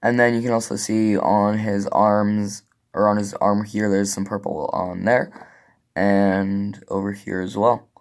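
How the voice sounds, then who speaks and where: A man's voice: fairly low pitch, flat delivery, a little slow. A young man casually showing off his painted toy figures, rambling with many fillers and a voice slightly worn from losing it. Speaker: hobbyist showing custom figures. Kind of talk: casual show-and-tell.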